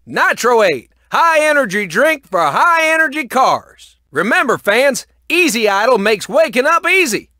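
An excitable cartoon race announcer for a video game. The voice is very high and piercingly bright, swinging widely in pitch. The delivery is folksy, showy sports commentary. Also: male voice, unhurried, hardly any pauses, dry recording, studio quality, heavily acted. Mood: upbeat announcing.

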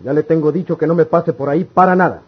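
An actor with a Mexican accent playing a stern, bossy rural father with a husky voice in an old radio soap. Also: fairly high pitch, quick pace. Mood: stern, scolding. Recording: muffled old radio recording.

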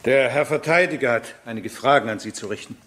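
A man's voice: mid-range voice, fast, fairly bright tone, lightly acted. A man playing a grave, authoritative presiding judge in a German crime radio drama, with a formal manner. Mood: official. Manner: formal, official.

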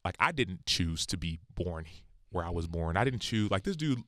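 A man's voice: low voice, big pitch swings, moderate pace, pushed and bright, dry recording, clean studio sound. A man in his thirties from the United States, a podcast guest telling personal stories earnestly and acting out quoted dialogue. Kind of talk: casual conversation.

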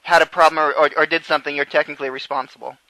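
A man's voice: fairly high pitch, steady tone, rapid-fire, pushed and bright. A man on a phone call speaking as a manager, hesitant and repeating his words. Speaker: prank caller posing as a dealership manager. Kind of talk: phone conversation, improvised prank.

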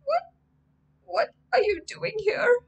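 A woman voicing a frightened young boy who stammers, high-pitched and near tears. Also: sing-song, slow pace, distant mic, exaggerated voice. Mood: scared, stammering.

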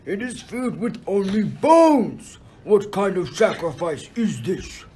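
A man's voice: high-pitched, big pitch swings, slow pace, mellow, heavily acted. A man playing Zeus as a pompous, vindictive king of the gods in an amateur radio play. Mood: angry. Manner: pompous, scornful pronouncements. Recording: faint background noise.

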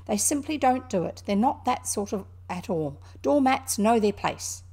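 A woman's voice: a little high, expressive, brisk, gravelly, darkish tone, slightly rough, heavily acted. A woman voicing a grumbling, literal-minded male mole in a storybook, indignant and easily put out. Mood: pettish, indignant. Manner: complaining, indignant, wordy. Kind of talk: animated storybook character reading.

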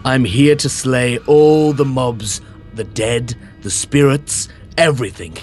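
A man's voice: medium pitch, takes it slowly, darkish tone, faint music behind. A young man co-hosting an improvised Minecraft roleplay, teasing and mock-flustered. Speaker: roleplay co-host.

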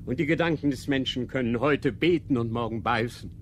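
An actor playing a stern, dogmatic inquisitor in a radio drama, measured and didactic, posing rhetorical questions. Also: male voice, medium pitch, slight background noise, close to an everyday voice. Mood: stern, lecturing. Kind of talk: literary radio drama acting.

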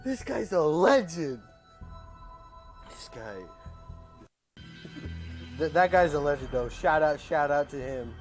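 A man's voice: on the high side, lively intonation, slow, long pauses, music in the background. A young man making prank calls as a video host, chatting casually in a slangy, jokey way, his voice cracking at times. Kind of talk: casual prank-call chat.

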